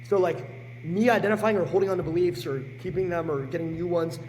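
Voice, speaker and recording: Hoarse high-voiced young man. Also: fast, in a large hall, a little hiss or hum, poor audio. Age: late teens. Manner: energetic and blunt, swears freely. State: hoarse, sick with a sore throat. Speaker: guest. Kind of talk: casual podcast chat.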